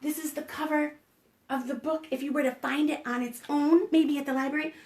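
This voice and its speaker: A woman's voice: high-pitched, fairly even intonation, brisk, a little mellow. A woman hosting a preschool storytime, reading aloud expressively and coaxing young listeners with questions. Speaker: children's librarian, storytime host. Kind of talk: animated read-aloud for preschoolers.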